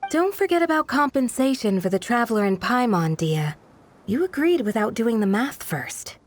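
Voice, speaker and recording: A woman playing a composed, responsible guardian in a video game, speaking in a measured, polite, businesslike way. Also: fairly high pitch, sing-song. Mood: calm, responsible. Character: composed, responsible female guardian, courteous and practical. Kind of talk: video game character dialogue.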